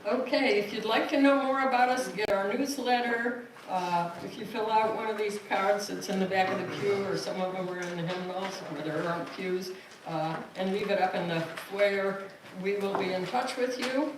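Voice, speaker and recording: Woman host, warm congregational welcome, far-off mic with room noise. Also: medium pitch, moderate pace. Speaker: service host welcoming visitors. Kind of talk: friendly congregational announcements. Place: church sanctuary. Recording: echoey sanctuary, distant and noisy.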